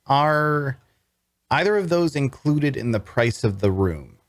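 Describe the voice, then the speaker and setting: A man's voice: medium pitch, lively intonation, takes it slowly, no echo, clean studio sound. An actor playing an earnest, cash-strapped traveler, telling his tale in first-person fantasy narration and dialogue. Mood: polite. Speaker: narrator and lead actor in a table read.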